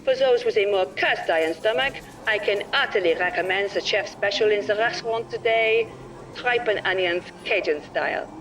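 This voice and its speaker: An actor playing a formal, deadpan ferry announcer making a scripted announcement in an audio play. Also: medium pitch, normal speed, recorded from a distance, soft background music, close to an everyday voice, poor audio. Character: deadpan ferry tannoy announcer, cheerfully unhelpful.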